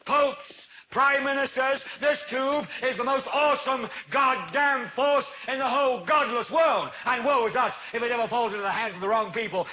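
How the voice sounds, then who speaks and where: An actor playing a furious, prophetic TV news anchor, ranting in oratorical, repeating cadences like a zealot in a film monologue. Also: male voice, very high voice, sharp tone, slight background noise, low-quality recording. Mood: fervent outrage.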